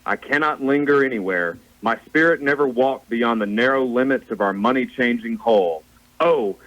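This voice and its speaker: A doom-laden male ghost, acted by a man in a radio drama. He laments and warns in a solemn, declamatory way, at a middle pitch. The broadcast sound is a little dull. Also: a bit bright, lightly acted. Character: mournful, doom-laden male ghost of a dead business partner. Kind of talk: theatrical radio play acting.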